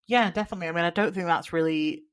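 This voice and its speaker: A woman with a notably low voice co-hosts a casual podcast. She chats freely, agreeing warmly with her co-host and sprinkling "like" through her talk. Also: racing, clean tone. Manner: chatty, lots of likes and agreeing mms.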